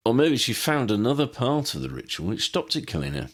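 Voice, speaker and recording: A man's voice: mid-range voice, very animated, brisk, a bit bright, husky. A man voicing a blunt, informal, puzzled young male friend in an audiobook. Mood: curious.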